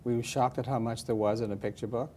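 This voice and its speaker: A man interviewing students about a lesson, asking gentle, leading questions. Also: medium pitch, steady tone, fast talker, slight background noise. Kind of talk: classroom interview.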